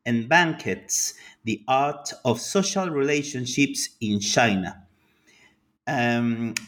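A man hosting an academic interview podcast, polite and formal, with some halting fillers. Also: on the high side, a bit bright. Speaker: podcast host.

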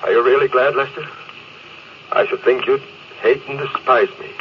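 An actor with an American accent playing a proud, emotionally closed captain in a classic radio drama, with intense, clipped delivery. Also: male voice, mid-range voice, normal speed, lightly acted. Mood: weary, regretful. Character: proud, stern, emotionally closed spaceship captain and fleet commander. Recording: noisy, dull old radio recording.

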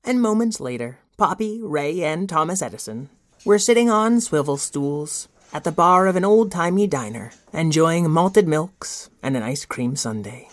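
A woman's voice: deep voice, animated, normal speed. A woman playing a curious, slightly bossy girl who narrates a children's audio drama with an eager storytelling manner. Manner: clear storytelling, eager questioning. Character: bright, curious, bossy little sister who narrates the adventure.

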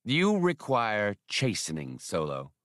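A man's voice: on the low side, wildly swooping pitch, takes it slowly, fairly bright tone, no echo, clean studio sound, heavily acted. A man reading an audiobook, voicing a condescending gunman villain with formal, menacing courtesy. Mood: cold disdain. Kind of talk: audiobook character dialogue.

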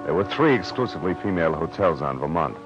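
On a dull vintage radio recording, a deep-voiced hard-boiled private eye talks in wry, blunt slang. He is a tough wisecracker from a 1940s detective drama. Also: big pitch swings, fast, over loud music. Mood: wry narration. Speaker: lead actor and narrator of a detective series.

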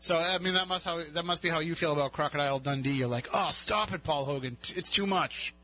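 A man's voice: a little high, fast talker, bright, forceful tone. A man with a Boston accent hosting a radio show, chatting casually and joking with a guest. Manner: chatty, jokey, laughs often. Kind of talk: casual interview chat. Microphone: studio mic.

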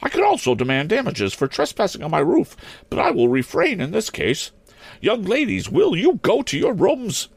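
Man putting on a bossy, high-pitched old maid principal. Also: wildly swooping pitch, moderate pace, creaky, slightly rough, no room sound, exaggerated voice. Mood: stern, demanding. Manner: haughty, commanding, formal. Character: stern indignant old maid school principal.

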